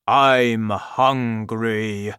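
A man voicing a huge, gentle giant in a storybook reading, speaking slowly and loudly. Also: steady tone, clean tone, no echo, studio quality. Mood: booming. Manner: slow, booming, deliberate.

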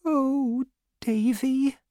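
A woman voicing a gentle, sorrowful mother who speaks in a sad, wounded, reproachful way in a storybook. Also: high voice, takes it slowly, smooth, dry recording, studio quality, voice pushed up.

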